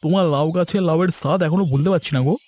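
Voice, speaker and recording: A man's voice: fairly high pitch, racing, a little mellow, close to an everyday voice. A man voicing an eager, talkative younger village man in a cartoon, with a pleading manner. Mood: friendly, eager. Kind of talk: cartoon dialogue acting.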